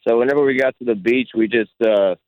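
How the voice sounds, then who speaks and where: A man's voice: medium pitch, quick pace, a little mellow, no echo. Man, caller, dull lo-fi phone sound, mid-range voice, casual storytelling. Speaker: caller to a radio show. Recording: dull, band-limited phone call.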